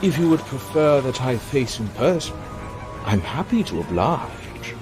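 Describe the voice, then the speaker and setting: An ancient demonic villain for an audio drama. He drawls at a slow, savoring pace with widely swinging pitch, silky, condescending and possessive. Music plays clearly behind him. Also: sing-song. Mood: mocking. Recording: music bed under the voice.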